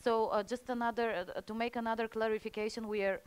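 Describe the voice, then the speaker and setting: A woman's voice: fairly high pitch, little pitch movement, quick pace, clean tone. A woman with a Bulgarian accent, a native Bulgarian speaker, moderating an online panel politely and restarting her sentences now and then as she hands over the floor. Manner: polite, with hesitant restarts while introducing speakers. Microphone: video call.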